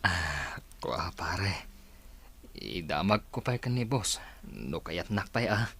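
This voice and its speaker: An older, reproving father in a radio drama. He is husky and extremely slow, with a low, drawling delivery. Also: sing-song, bright, forceful tone, exaggerated voice. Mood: hesitant. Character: stern older father, disapproving of daughter's old love. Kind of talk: Ilocano radio drama acting.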